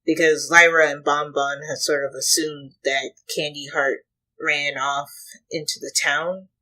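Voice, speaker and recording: A reaction host with a husky voice, commenting casually and thinking aloud in short, halting pieces. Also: mid-range voice, level tone, sharp tone, poor audio.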